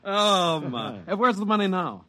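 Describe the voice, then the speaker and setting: High, teasing young pal. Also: male voice, expressive, a little slow, bright, forceful tone, exaggerated voice. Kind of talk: old-time radio drama acting.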